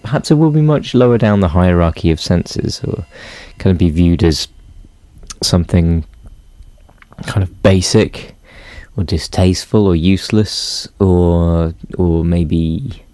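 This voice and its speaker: A man musing reflectively and hesitantly, often pausing to search for words. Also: deep voice, dark tone, dry recording. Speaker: interviewee.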